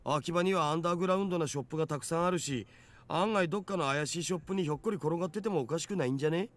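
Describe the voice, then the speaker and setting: Man voicing a fast-talking geeky young otaku guy. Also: a little high, lightly acted. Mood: geeky, explanatory. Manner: rattling off explanations, slangy. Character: geeky young male net-savvy otaku, casual know-it-all. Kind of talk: Japanese visual novel voice acting. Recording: game dialogue with music behind.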